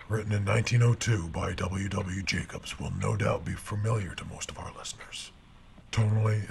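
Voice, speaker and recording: A man introducing a radio horror drama in a formal, ominous, deliberate manner, like a vintage scripted host. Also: low voice, vocal fry, a little hiss or hum.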